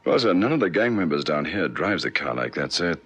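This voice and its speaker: A frustrated, dogged detective sergeant with a low, rough-edged voice. He reports to his superior in clipped, deferential phrases, in the earnest manner of a 1960s radio police drama. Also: big pitch swings, fairly quick, a bit bright, exaggerated voice. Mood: earnest, explanatory.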